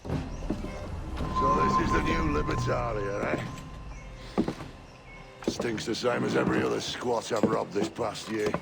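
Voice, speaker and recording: An actor playing a sneering, foul-mouthed pirate captain in a video game, with a gravelly voice. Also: male voice, mid-range voice, wildly swooping pitch, slow, pauses often, full character voice. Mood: contemptuous. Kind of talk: video game cutscene acting. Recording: music behind the voice.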